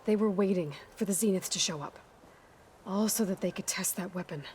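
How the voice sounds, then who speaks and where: A woman's voice: medium pitch, fairly quick, darkish tone, slight background noise, natural voice. An actress voicing a determined young woman hunter in a video game cutscene, speaking in a focused, decisive and analytical way.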